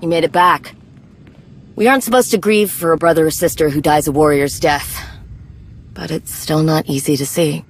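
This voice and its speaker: A woman's voice: low-pitched, normal speed, a little hiss or hum. A woman playing a stern, vengeful warrior commander in a video game, speaking in terse, weighted lines. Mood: somber. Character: grim, vengeful female Mandalorian warrior commander. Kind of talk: video game dramatic acting.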